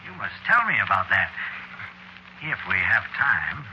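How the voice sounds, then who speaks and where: A man's voice: lowish voice, wildly swooping pitch, measured pace, lo-fi sound. An actor playing a fussy, cheerfully macabre coffin salesman in vintage radio drama style, with an unctuous, chuckling, dithering manner. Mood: chatty, jovial. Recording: noisy vintage radio recording.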